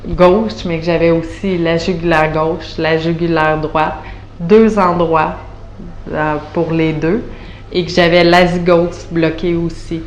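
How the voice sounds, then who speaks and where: A woman in her thirties with a Quebec French accent speaks slowly and haltingly, stopping often to search for words. Her voice sits a little low. The room is echoey, with a faint hum of background noise. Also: level tone. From Montreal, Canada. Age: 30s. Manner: hesitant, many fillers and restarts. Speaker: patient giving a testimonial. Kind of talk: unscripted spoken testimonial.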